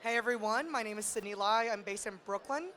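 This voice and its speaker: A woman's voice: medium pitch, a little fast, background noise. A woman speaking as a panelist on stage, informal, playful and slangy with the audience. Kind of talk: informal introduction and explanation to audience. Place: live stage event. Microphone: handheld stage microphone.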